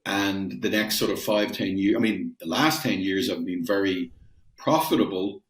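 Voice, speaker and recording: A man's voice: low voice, normal speed, echoey room. A middle-aged Irish man from Dublin answering interview questions conversationally, with frequent fillers and restarts. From Ireland. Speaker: guest, metals company founder. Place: home in Ireland, roomy.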